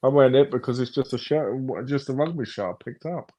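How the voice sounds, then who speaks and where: A man's voice: mid-range voice, fast talker, mellow. A man chatting casually on a live stream, easygoing, trading short reactions and small anecdotes. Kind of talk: casual chat. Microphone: streaming call.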